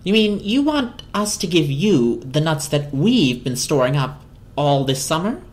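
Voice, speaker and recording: Woman putting on a low, pompous squirrel husband. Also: very animated, measured pace, darkish tone. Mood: suspicious, grudging. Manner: pompous, complacent, stuffy. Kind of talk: dramatic children's storybook reading.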